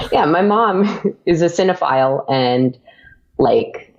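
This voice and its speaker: A woman's voice: lowish voice, animated, slow pace, muffled recording. A woman chatting casually as an interview guest. She talks freely with lots of fillers and laughs often. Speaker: podcast guest.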